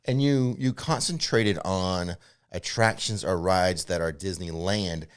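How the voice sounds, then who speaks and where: A man's voice: deep voice, unhurried, clear, resonant tone. A middle-aged American man co-hosting a vlog, chatting informally and asking questions in a conversational way. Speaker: vlog co-host. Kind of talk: casual chat, asking questions.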